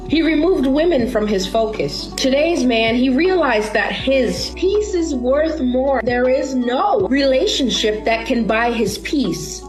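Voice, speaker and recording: A woman with a fairly high voice delivers a motivational monologue. Her phrases are short, declarative and emphatic, built on repetition, with faint music behind her.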